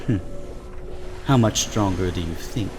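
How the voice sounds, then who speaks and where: Man playing slow, low-voiced vampire schemer. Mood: curious. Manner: casual, questioning. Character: plain-spoken, curious male vampire schemer, slow and casual. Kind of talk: scripted fantasy voice acting. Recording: long reverb, clearly noisy background.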